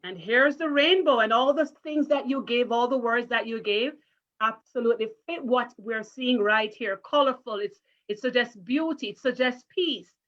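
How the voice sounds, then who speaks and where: Muffled, high-voiced woman teacher. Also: normal speed, fairly bright tone. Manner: encouraging, careful teacherly address with questions. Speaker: primary school teacher reading a story. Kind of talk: interactive children's read-aloud. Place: online virtual story time call. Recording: muffled video call audio.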